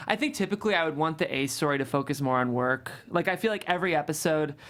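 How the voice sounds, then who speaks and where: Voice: medium pitch, fast, fairly bright tone. A writer talking casually and tentatively in a studio workshop discussion about their own work. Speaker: writer of the script being discussed.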